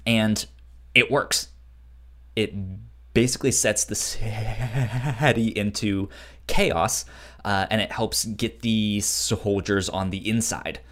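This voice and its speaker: A man's voice: lowish voice, fairly even intonation, slow, a bit bright. Stuttering man in casual discussion. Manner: frequent stuttering, repeating sounds and syllables. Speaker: podcast co-host.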